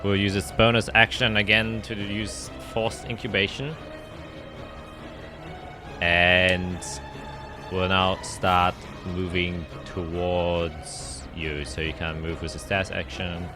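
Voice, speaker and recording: A man's voice: low-pitched, flat delivery, slow, over music. A man narrating a tabletop roleplaying game as game master, describing scenes and calling out dice results.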